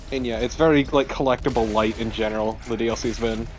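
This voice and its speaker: A man's voice: medium pitch, fairly even intonation, fast talker, slight background noise. A man co-commentating on a video game in a casual, wry, joking way. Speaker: let's play co-commentator. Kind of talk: casual gameplay commentary chat. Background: video game music.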